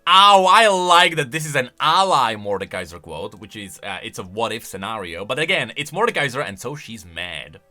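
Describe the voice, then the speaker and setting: A man gives off-the-cuff game commentary in a piercingly bright, fairly high voice. He is excitable and emphatic, with lively swings in intonation. Music plays faintly behind him. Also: normal speed, few pauses. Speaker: host, game lore commentator.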